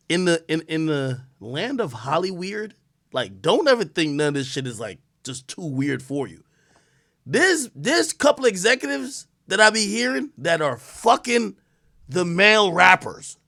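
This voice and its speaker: Guy streaming, swearing a lot, rambling, fairly high voice for a man, blurts reactions. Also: gravelly. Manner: profane, rambling, reacts loudly while reading aloud. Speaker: live-stream host. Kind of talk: casual stream commentary.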